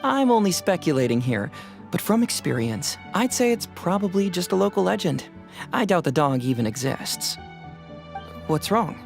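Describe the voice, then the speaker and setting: A man's voice: high-pitched, very animated, moderate pace, vocal fry, exaggerated voice. A man voicing a breezy, self-assured young detective in a video game, with a playful, knowing and teasing manner. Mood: intrigued, playful. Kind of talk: video game cutscene dialogue. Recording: music under the voice.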